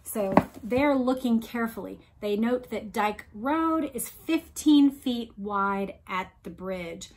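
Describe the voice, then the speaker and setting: A woman's voice: on the high side, slow pace, few pauses, a little hiss or hum, poor audio. A woman hosting a read-along, reading aloud and adding emphatic explanations addressed directly to listeners. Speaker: host of a read-along discussion.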